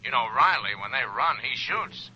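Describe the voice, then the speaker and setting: An actor playing a brisk, matter-of-fact police colleague in a 1940s radio drama. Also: male voice, medium pitch, animated, bright, forceful tone, slightly rough, full character voice. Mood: brisk, businesslike. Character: brisk, businesslike police colleague on the night desk. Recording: noisy, muffled old radio recording.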